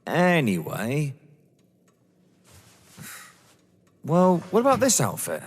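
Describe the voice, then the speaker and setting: An actor playing a down-to-earth young lover drawn into a scheme, with a wary, dry, protesting manner, in a stage-thriller audio drama. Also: male voice, fairly high pitch, huge pitch swings, unhurried, slightly rough, full character voice. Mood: reluctant. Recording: long hall-like reverb.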